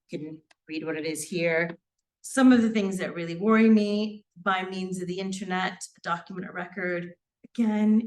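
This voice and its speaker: A woman's voice: on the low side, far from the mic. A woman in her forties, born in Sri Lanka, speaking as a criminal barrister at a seminar, unscripted, with many fillers and restarts. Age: 40s. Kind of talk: seminar talk. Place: seminar room.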